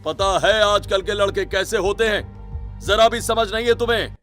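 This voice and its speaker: A man playing strict middle-aged patriarch, raised high pitch, drawn-out scolding, domineering, threatening, cartoon drama. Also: fairly bright tone, soft background music. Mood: furious.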